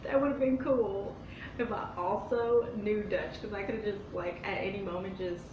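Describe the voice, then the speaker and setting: A young woman talks loosely to her viewers, drifting between thoughts with plenty of fillers and restarts. She sits some way from the camera mic. The room is echoey and a steady background noise runs underneath. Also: mid-range voice, normal speed, recorded from a distance, lo-fi sound. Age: young adult. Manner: meandering, with many fillers and self-corrections. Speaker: YouTuber answering viewer questions. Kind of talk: casual rambling vlog chat.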